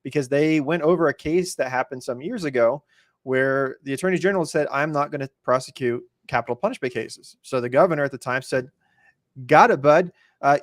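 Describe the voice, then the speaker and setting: A man's voice: on the high side, no room sound, clean studio sound. A man co-hosting a podcast, explaining things in casual, colloquial talk. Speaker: podcast co-host. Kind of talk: casual chat, explaining news.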